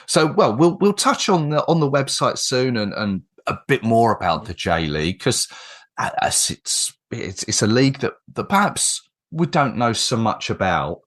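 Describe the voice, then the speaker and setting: A man's voice: lowish voice, fairly bright tone. A man from England hosting a football podcast, chatting with guests in a rambling, friendly way with frequent restarts. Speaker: podcast host. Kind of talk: casual podcast interview.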